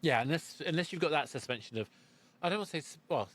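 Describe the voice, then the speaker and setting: A man's voice: on the high side, expressive, fast talker, fairly bright tone, slight background noise. A man hosting a podcast interview, feeling his way through sentences and rephrasing as he thinks aloud. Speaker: podcast host. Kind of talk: interview conversation.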